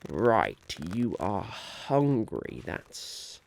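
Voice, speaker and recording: A man playing a polite, harried would-be businessman chairing a meeting in a soft-spoken, halting ASMR roleplay. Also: lowish voice, wildly swooping pitch, vocal fry, full character voice. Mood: patient, bemused. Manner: hesitant, halting, politely patient.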